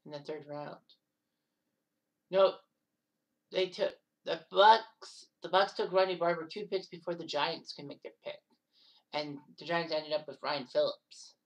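A woman in her thirties narrating sports history casually, rambling with offhand asides and quick self-corrections. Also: low voice, steady tone, distant mic, muffled recording. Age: 30s.

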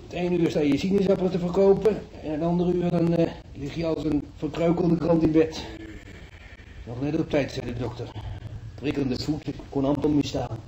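An actor playing a chatty, down-to-earth market fruit seller laid up in hospital, in a radio play. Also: male voice, on the high side, steady tone, normal speed, dark tone, lo-fi sound, a little hiss or hum, natural voice. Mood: chatty, folksy. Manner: folksy, chatty. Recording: echoey radio play, music behind.

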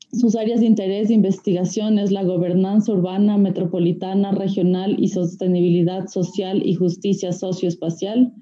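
A woman moderating a conference panel, formal in manner with frequent filler pauses. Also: mid-range voice, mellow. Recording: dull, slightly lo-fi audio.

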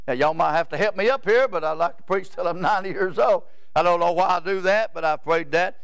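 A man's voice: sits high, fairly quick, gravelly, clear, resonant tone, dry recording, studio quality. A man, preaching a rambling, folksy sermon in a church sanctuary and often stopping to ask "Amen?". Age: 70s. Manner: rambling, repeats words, asks "Amen?" of the crowd.

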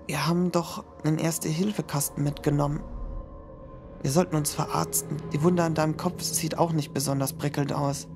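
A man voicing a gravelly wary young student. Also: a little high, little pitch movement, moderate pace. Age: young adult. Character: young male student, wary friend on a dark quest. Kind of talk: fan audio drama acting. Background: horror music.

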